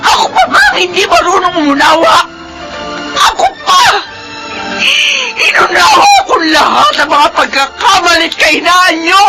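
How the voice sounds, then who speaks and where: A woman's voice: extremely high pitch, sing-song, moderate pace, music in the background, full character voice, low-quality recording. A worn-out mother. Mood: despairing. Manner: weary, tearful, shouting when pushed. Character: worn-out middle-aged mother at breaking point. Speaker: radio drama character. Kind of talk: classic radio drama acting.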